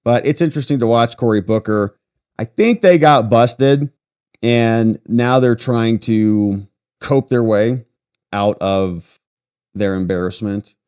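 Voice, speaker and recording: A man's voice: medium pitch, slow pace, a little mellow, clear, resonant tone. Sarcastic male political commentator. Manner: mocking, sarcastic. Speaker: host of a political commentary show. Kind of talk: casual political commentary on a livestream.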